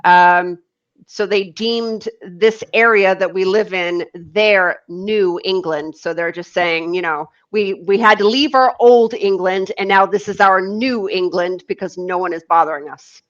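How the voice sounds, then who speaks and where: North American woman explaining, on dull audio. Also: medium pitch. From Canada and the northeastern US. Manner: explains in measured phrases to a learner. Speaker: conversation partner. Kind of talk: casual explanatory conversation. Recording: dull lo-fi online call audio.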